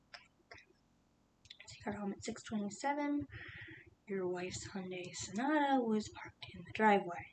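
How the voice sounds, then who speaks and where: A woman voicing a calm, patient female police detective, whispering softly and asking careful, soothing questions in an ASMR roleplay. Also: natural voice, poor audio. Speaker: interrogator. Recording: steady low rumbling noise.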